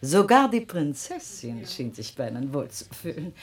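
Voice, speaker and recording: Deep-voiced, flustered society duchess. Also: female voice, big pitch swings, normal speed. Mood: gushing. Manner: stammering, affected. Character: flustered, gushing aristocratic duchess, scandalized and naive. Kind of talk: German radio drama acting. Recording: party chatter behind the voice.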